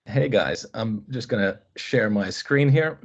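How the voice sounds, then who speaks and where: A man in his thirties with a lowish voice talks through a webinar presentation over a video call. He hesitates a lot, fills gaps with ums and restarts phrases. The audio is dull and lo-fi. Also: unhurried. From Finland. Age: 30s. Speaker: college squash coach, guest speaker.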